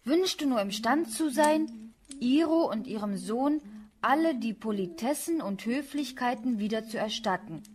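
Coquettish high-voiced young daughter. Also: female voice, expressive, unhurried, a little mellow. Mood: careful, proud. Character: coquettish young merchant's daughter, proud, easily flustered. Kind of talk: German radio play acting.

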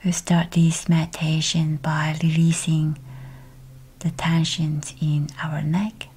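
A woman leading a scripted guided meditation in a hushed, breathy, near-whispered voice, soothing and measured. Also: low-pitched, completely flat delivery.

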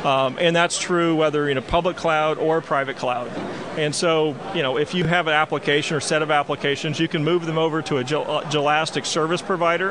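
A man in his forties, a company executive, answering interview questions on a busy trade show floor with many "um" fillers, speaking into a handheld mic. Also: fairly high pitch. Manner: lots of "um" fillers, gestures while talking. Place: busy expo hall floor. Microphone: handheld mic held by interviewer. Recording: noisy crowded expo hall. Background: crowd chatter.

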